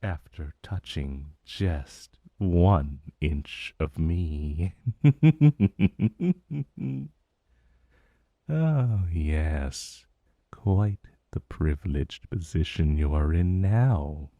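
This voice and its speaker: Guy doing an ancient dragon for asmr roleplay, deep voice that swoops around a lot, super close and dry, smug teasing and kinda threatening. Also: expressive, mellow, dry recording, highs cut off, heavily acted. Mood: smug, menacing. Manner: smug, purring, drawn-out menacing delivery. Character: ancient male dragon familiar, possessive, condescending, teasing, vaguely menacing. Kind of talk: intimate ASMR roleplay, slow and deliberate.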